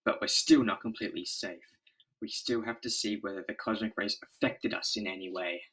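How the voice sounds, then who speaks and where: A man's voice: on the low side, brisk, sharp tone, pitched below the performer's own voice, poor audio. A man voicing an earnest, commanding scientist hero in a melodramatic comic-book reading. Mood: concerned, earnest.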